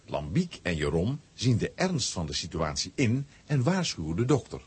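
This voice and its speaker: A man's voice: on the low side, huge pitch swings, normal speed, breathy edge, heavily acted. A man narrating a scripted children's audio drama as its storyteller. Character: storyteller relating a comic adventure.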